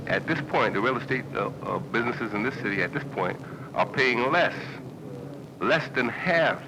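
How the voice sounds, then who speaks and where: An American man giving earnest political talk in a documentary interview. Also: on the low side. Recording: noisy old newsreel, traffic-like ambience.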